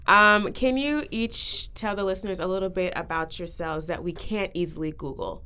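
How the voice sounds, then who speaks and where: A woman's voice: fairly low pitch, moderate pace, pushed and bright, smooth. A young woman hosting a casual podcast, talking with guests and presenting trends. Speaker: podcast host.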